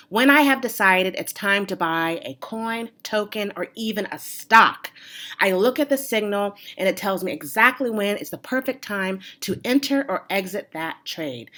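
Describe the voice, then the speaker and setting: A woman's voice: medium pitch, a bit bright. A woman talking casually to her viewers about investing, her voice a little nasal from a lingering cold, with frequent "right?" and "um" asides. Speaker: finance YouTuber. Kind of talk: casual explainer talk to camera.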